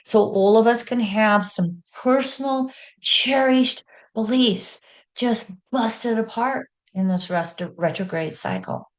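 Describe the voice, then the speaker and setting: A woman's voice: a little high, slow pace. A middle-aged woman teaching astrology in an earnest, folksy way with self-deprecating asides. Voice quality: loud speaking voice. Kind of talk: teaching astrology talk with slides.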